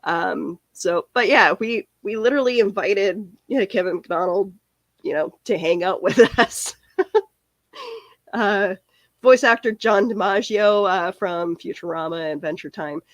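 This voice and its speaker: A woman's voice: mid-range voice, a little slow, no room sound. A young woman with a cold and a cracking voice, hosting a live stream in a rambling, chatty way. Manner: rambling, chatty, answers viewer comments. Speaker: live stream podcast host. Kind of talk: casual live stream chat.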